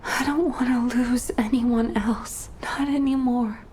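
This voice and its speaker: An actress playing a vulnerable, grieving young woman in a video game, hushed and breathy. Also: a little high, fairly even intonation, slow, slight background noise. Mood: anguished, pleading. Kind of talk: dramatic video game voice acting.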